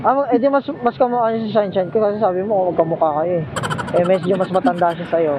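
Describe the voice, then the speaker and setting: A man's voice: sits high, fairly even intonation, quick pace. A Filipino man chatting casually and teasingly with a passenger as a motorcycle taxi rider, speaking politely through a helmet intercom on the road. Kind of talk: casual joking chat with passenger. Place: outdoors riding a motorcycle in traffic. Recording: muffled intercom mic, loud road noise. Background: loud vehicle and street noise.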